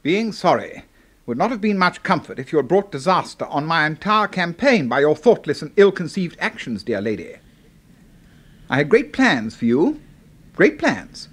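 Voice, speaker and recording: A pompous, deranged brigadier with an English accent. His fairly high voice rises and falls dramatically, clipped and used to obedience, in the manner of 1970s radio horror. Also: very animated, normal speed, breathy edge. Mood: indignant. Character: pompous, deranged brigadier boss, secretly a serial killer.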